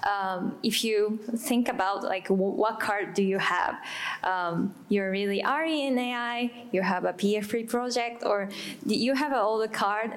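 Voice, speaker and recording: A woman with a Japanese accent, a native Japanese speaker, speaking as a panelist in halting English while she searches for words. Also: mid-range voice, low-quality recording. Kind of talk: live panel discussion.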